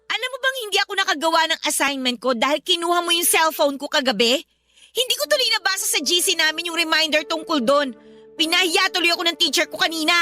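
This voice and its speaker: Very high, whiny teenage schoolgirl. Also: expressive, fast, sharp tone, full character voice. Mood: defiant. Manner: whiny, argumentative. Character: aggrieved, defiant teenage schoolgirl, the younger sister. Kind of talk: Tagalog radio drama acting.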